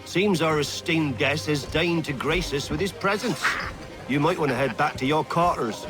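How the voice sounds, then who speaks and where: Actor as surly, taunting sailor, slightly high. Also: male voice, normal speed, music in the background, close to an everyday voice. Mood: mocking, menacing. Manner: taunting, rough. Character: surly, mocking, brawling sailor. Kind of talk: video game cutscene acting.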